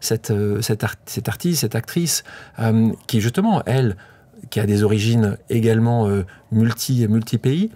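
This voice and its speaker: A man hosting a podcast, asking long, halting questions that search for words. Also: on the low side, mellow, no room sound. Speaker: podcast host. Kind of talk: casual interview talk.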